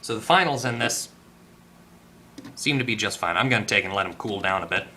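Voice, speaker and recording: Bright, low-voiced man thinking aloud. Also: animated, fairly quick, slight background noise. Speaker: repair video host. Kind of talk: casual hands-on electronics tutorial. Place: at a workbench indoors.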